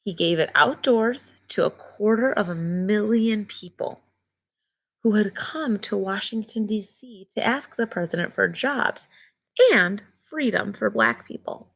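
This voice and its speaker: Woman with creaky voice reading to children. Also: medium pitch, measured pace. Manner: simple, child-directed explaining with short phrases. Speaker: teacher reading a picture book aloud to children. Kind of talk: read-aloud storytelling with simple explanatory asides.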